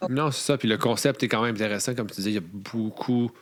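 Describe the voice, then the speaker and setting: A man's voice: lowish voice, quick pace. A man with a Quebec French accent hosting a podcast interview in casual, teasing chat.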